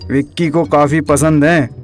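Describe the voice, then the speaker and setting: A man's voice: fairly high pitch, steady tone, moderate pace, faint music behind, lightly acted. A man playing a fussy middle-aged father in a comic audio drama. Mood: teasing, chatty.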